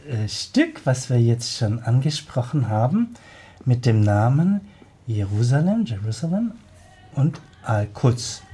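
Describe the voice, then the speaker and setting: A man's voice: medium pitch, warm tone, a little hiss or hum. A man hosting a radio studio interview, talking hesitantly with many fillers. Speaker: radio host.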